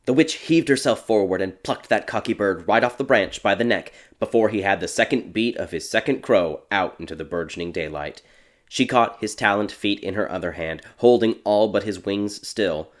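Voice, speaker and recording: Low-voiced male fairy tale narrator. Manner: theatrical, measured storytelling.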